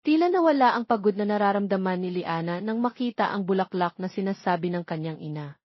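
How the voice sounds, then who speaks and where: A woman's voice: medium pitch, animated, fast talker, no room sound, lo-fi sound. A woman with a Filipino accent reading a scripted romance story as its narrator. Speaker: narrator of audio stories.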